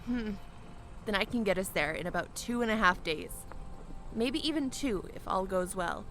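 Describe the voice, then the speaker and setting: A woman acting a bright, breezy airship pilot. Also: medium pitch, lively intonation, moderate pace, slight background noise, lightly acted. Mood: calculating, confident. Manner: casual, self-assured. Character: confident, breezy young female airship pilot. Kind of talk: fantasy audio drama acting.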